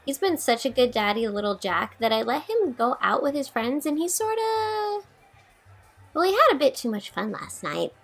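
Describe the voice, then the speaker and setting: A woman's voice: high voice, big pitch swings, normal speed, gravelly, soft background music, exaggerated voice. A woman playing a peppy, friendly young mother in an audio drama, chatting in a chirpy way. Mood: cheerful, welcoming.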